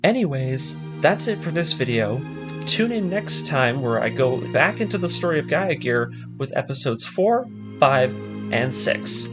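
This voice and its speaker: A man hosting a video essay, narrating a scripted fan theory with emphatic, deliberate stresses on key words. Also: medium pitch, very animated. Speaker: host of an anime breakdown video series. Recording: music behind the voice. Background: music.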